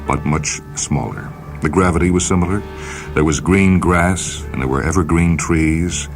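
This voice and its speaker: Very deep-voiced man narrating a story. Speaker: reader of short stories for a radio program. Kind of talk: dramatic scripted story narration. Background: music.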